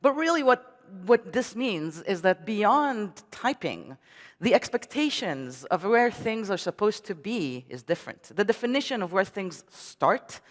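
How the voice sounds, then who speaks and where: A woman's voice: medium pitch, sing-song, a little slow. A woman whose first language is Hebrew gives a technical conference talk in English from a stage. She speaks in a chatty way, with frequent ums and uhs and wry asides. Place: conference hall. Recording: long reverb of a large hall.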